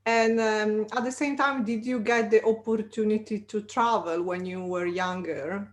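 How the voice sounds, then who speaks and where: A woman's voice: mid-range voice, fairly even intonation, unhurried. A woman hosting a career podcast, reading a prepared introduction and then asking her guest questions. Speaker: podcast host.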